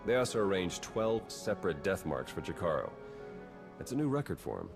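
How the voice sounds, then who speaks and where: Low-voiced, wry rogue spy. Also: male voice, big pitch swings, a little fast. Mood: wry, businesslike. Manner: wry, understated. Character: dry, wry male spy gone rogue. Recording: clear music behind dialogue.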